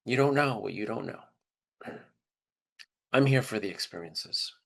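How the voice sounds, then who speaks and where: A man's voice: mid-range voice, expressive, unhurried, vocal fry. A man telling personal stories in a casual, conversational way, candid and openly emotional. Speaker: trip leader for student service trips.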